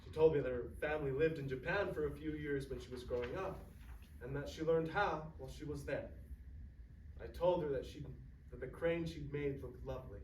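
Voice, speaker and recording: Man playing a gentle grieving grandfather, echoey and distant. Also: a little high, brisk, lightly acted. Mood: nostalgic, tender. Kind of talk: staged play reading. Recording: echoey room, distant mic, faint noise.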